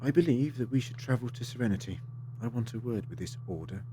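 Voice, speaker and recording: A man's voice: mid-range voice, animated, fast, dark tone, slight background noise, natural voice. A man acting in an audio drama as a polite, assured time-travelling adventurer, articulate and theatrical with a wry streak.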